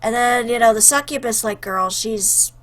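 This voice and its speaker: A woman with a fairly high voice hosts a casual let's play. She comments and reads game lines aloud in an expressive, joking manner. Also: normal speed. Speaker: host, gaming YouTuber.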